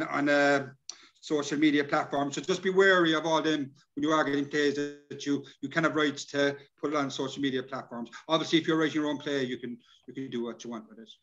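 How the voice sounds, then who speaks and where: Higher-voiced Irish man on a dull video call. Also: a bit bright. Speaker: workshop presenter. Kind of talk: informal online presentation. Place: indoor room, on a video call.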